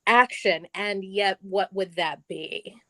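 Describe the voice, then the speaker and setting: An animated middle-aged woman chats as a guest at a live event. She laughs easily and breaks off to restart her sentences. Her voice sits at an average pitch, and the sound is close and dry. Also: takes it slowly, dry recording. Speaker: on-stage guest. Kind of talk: casual live conversation.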